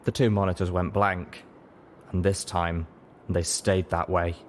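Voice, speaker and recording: Man, deep voice, audiobook narration, steady earnest reading, faint hiss. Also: unhurried. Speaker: audiobook reader. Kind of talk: dramatic fan-fiction audiobook narration.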